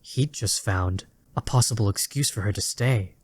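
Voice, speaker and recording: A deep male narrator reads a dramatic fantasy story from a script. His delivery is deliberate, with weighty pauses between phrases. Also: breathy edge, no echo.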